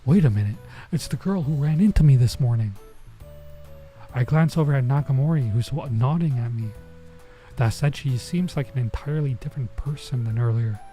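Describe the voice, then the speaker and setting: Mellow-voiced man narrating a let's play. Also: mid-range voice, moderate pace, low-quality recording. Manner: informal, bemused asides. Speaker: streamer playing a visual novel. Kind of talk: let's play, reading game text aloud with casual reactions. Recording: music under the voice. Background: game music.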